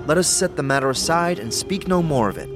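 An actor playing a proud, easily offended warrior in a fantasy audio drama, speaking in haughty, formal, archaic phrases. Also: male voice, a little high, expressive, brisk, music in the background, lightly acted. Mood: formal, conceding.

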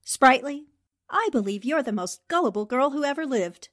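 A woman's voice: sits high, lively intonation, moderate pace, a bit bright. A woman playing a worldly, teasing newspaperwoman in 1940s radio style, theatrical and a touch condescending.

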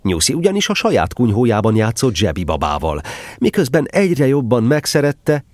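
A man's voice: mid-range voice, huge pitch swings, darkish tone. A man narrating a scripted children's story as the narrator of an audio drama.

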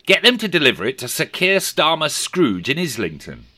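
A man voicing a gleeful, scheming male miser who exclaims loudly and theatrically. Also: fairly high pitch, huge pitch swings, normal speed, noisy background, voice pushed up. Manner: shouting, exclaiming, manic glee.

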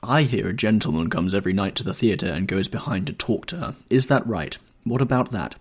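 A man's voice: on the low side, expressive, a little fast, slightly rough. A man voicing a stern, sullen young son bound for sea, blunt, harsh and protective, in an audiobook. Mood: suspicious. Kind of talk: audiobook character dialogue.

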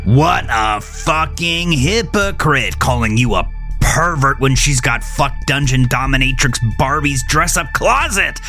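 A man's voice: medium pitch, lively intonation, pushed and bright, voice pushed up. A gleeful, sinister male companion from a horror audio drama, acted out by a man, crude and gloating. The voice jumps up well above a normal register and drags its words with relish, over music. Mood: gleeful, mocking. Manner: crude, gloating, drawn-out delivery.

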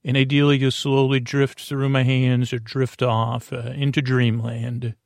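A man hosts a sleep podcast in a gravelly, creaky voice, close and dry with no room sound. He meanders from point to point, trailing off into tangents and wordplay with plenty of ums and uhs. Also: mid-range voice, flat delivery, a little slow, no room sound. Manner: meandering, goes off on tangents and wordplay, many fillers. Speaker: podcast host. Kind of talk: rambling, drowsy bedtime podcast intro.

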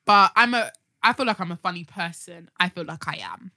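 A woman hosting a casual podcast chat, bold and quick to joke, often breaking into laughter. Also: lowish voice, expressive, sharp tone, dry recording, studio quality. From Nigerian heritage. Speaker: podcast host.

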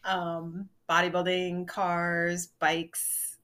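A woman co-hosting a podcast in casual chat, direct and straightforward, with measured delivery. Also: fairly low pitch, monotone, fairly bright tone. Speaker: podcast co-host.

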